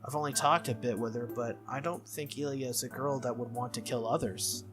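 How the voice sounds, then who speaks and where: A man's voice: medium pitch, fairly quick, music in the background. A man narrating a visual novel aloud as a gaming video host, in a read-through style.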